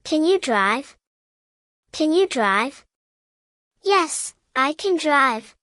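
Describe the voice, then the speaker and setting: A woman with a distinctly high voice reads a beginner language drill. She speaks slowly and carefully in short, evenly measured phrases, repeating each one. The sound is dry, with no room echo. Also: sing-song, a bit bright. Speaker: English teacher narrator.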